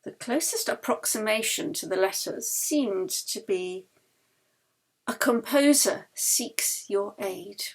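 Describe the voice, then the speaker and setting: A woman author reading her own novel aloud in a measured, expressive way. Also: medium pitch. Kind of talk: audiobook narration.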